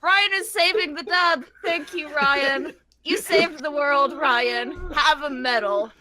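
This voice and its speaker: High, bright-voiced bantering woman. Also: slow pace. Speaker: fandub performer. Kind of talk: improvised comedic dub banter. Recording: faintly noisy group stream.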